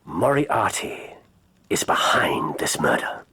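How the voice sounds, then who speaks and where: A self-assured male detective in a radio play. His voice is low and husky, with lively inflection and an intense, insistent delivery. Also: takes it slowly, whispered, full character voice. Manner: clipped, insistent. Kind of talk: radio drama acting.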